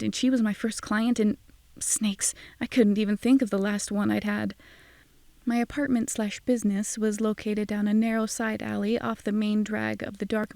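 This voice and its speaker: A woman's voice: mid-range voice, creaky, dark tone, dry recording. A woman narrating a scripted first-person audio drama in a wry, sardonic manner.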